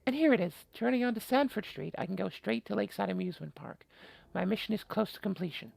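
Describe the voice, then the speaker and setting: A game player voicing a wry, self-reproaching female visual novel protagonist who narrates in deliberate, literary first person. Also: medium pitch, expressive, creaky, darkish tone, no echo. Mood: wary, resolved.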